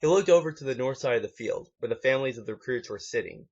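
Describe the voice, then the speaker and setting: A man's voice: medium pitch, animated, fast talker. A man reading a story aloud as an amateur, in one take, now and then stumbling and correcting himself. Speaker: amateur fan fiction reader. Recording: dull lo-fi home recording.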